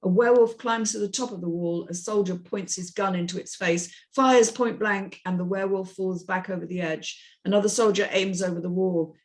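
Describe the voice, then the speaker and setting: A woman's voice: medium pitch, a little fast, hardly any pauses. Female narrator for a script table read, middle-register voice, reads scene directions. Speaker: script reading host and narrator. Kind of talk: reading screenplay action lines.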